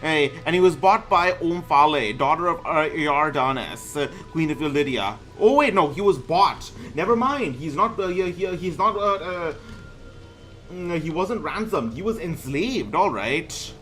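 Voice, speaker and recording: A man with a fairly high voice reads aloud between bits of casual game commentary. He trips over words and names and keeps backing up to fix them, with music playing underneath. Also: animated, a little slow, a bit bright. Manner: stumbles over words and names, often correcting himself. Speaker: gaming streamer reading a myth aloud.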